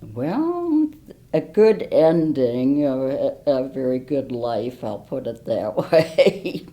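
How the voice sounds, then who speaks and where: Very slow, deep-voiced woman in her eighties. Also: sing-song, warm tone. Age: 80s. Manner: measured, deliberate. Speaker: retired professor, interviewee. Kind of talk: unscripted personal interview.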